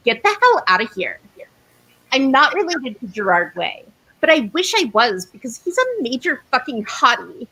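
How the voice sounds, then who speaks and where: A woman's voice: on the high side, fairly bright tone, slightly rough, poor audio. Woman giving a mock-serious dramatic reading. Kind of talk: dramatic comic reading of fan fiction.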